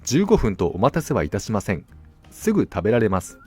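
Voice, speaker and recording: A man's voice: fairly low pitch, wildly swooping pitch, a little fast, darkish tone, slightly rough, soft background music, heavily acted. A man voicing the polite, insistent notices of a mysterious restaurant in a storybook. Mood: coaxing, apologetic. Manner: courteous, instructing. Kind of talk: storybook reading.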